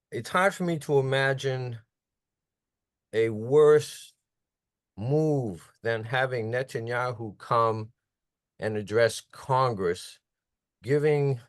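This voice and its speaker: A man joins a video call to ask a question, earnest and a little incredulous. He talks slowly in a low voice. The call audio is dry, with no room sound. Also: dry recording. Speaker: caller.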